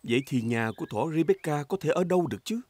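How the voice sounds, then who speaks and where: A woman's voice: deep voice, animated, fast, warm tone. A woman narrating a children's cartoon from a script.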